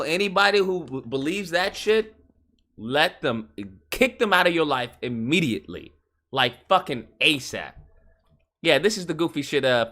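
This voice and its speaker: A man's voice: on the high side, sharp tone. A man hosting a live call-in show, blunt and profane, mocking his callers.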